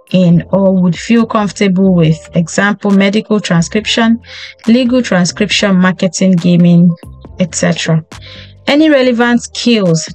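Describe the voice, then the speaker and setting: A woman's voice: mid-range voice, darkish tone, low-quality recording. A woman hosting a career tips channel, walking through a job listing on screen and reading its lists aloud with occasional ums and restarts. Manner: reads items in list form, with frequent ums and restarts. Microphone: close desk mic, voice-over on a screen recording.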